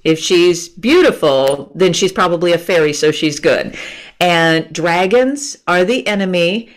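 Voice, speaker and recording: A woman's voice: fairly low pitch, expressive, measured pace. A woman in her fifties, a guest author on a video call, explaining things patiently and laughing often. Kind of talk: conversational interview. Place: room with bookshelves. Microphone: earphone mic on a video call.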